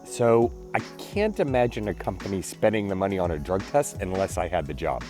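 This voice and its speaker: Congested chatty man with lively pitch. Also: a little fast, faint music behind. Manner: chatty, apologetic, laughs often. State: congested, clearing throat. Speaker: vlogger. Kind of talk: casual daily vlog.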